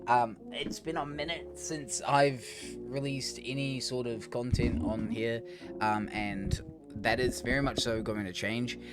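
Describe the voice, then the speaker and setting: A hoarse man who has lost his voice to a cough gives a casual, rambling channel update to his viewers as a gaming streamer. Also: medium pitch, fairly even intonation, measured pace. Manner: rambling, with many ums and restarts. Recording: guitar music playing under the voice.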